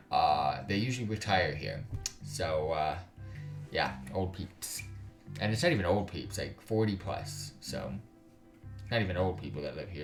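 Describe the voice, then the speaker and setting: A man reading a visual novel aloud for a gaming video, narrating the story and dropping into casual, slangy, sometimes sweary asides. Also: low-pitched. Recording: roomy echo, music behind. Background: game music.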